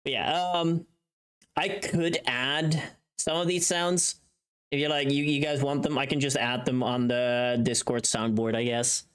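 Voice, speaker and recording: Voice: medium pitch, steady tone, a little slow, clean tone. A livestream host chatting casually, rambling and thinking aloud to themselves.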